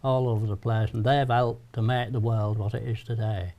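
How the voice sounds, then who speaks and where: A man's voice: lowish voice, steady tone, normal speed, dark tone. Older man, North East Lincolnshire accent, folksy studio guest. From North East Lincolnshire, England. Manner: chatty, folksy, with frequent restarts. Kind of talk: studio interview.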